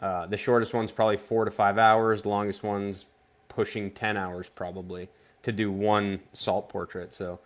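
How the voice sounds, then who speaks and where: A man from Vermont, a guest artist on a casual live-stream interview, speaking in a halting way with frequent restarts. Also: lowish voice, little pitch movement. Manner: halting, stops and restarts often.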